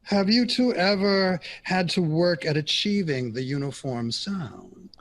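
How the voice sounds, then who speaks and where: A man's voice: a little high, lively intonation, a little slow. A man acting in an audio drama as a polite, probing 1960s radio interviewer.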